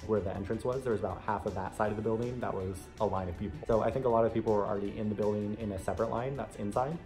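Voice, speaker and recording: A man's voice: fairly low pitch, fairly even intonation, very fast, over music. A young American man telling a story casually to camera in a chatty, conversational way. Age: young adult. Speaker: vlogger sharing an audition experience.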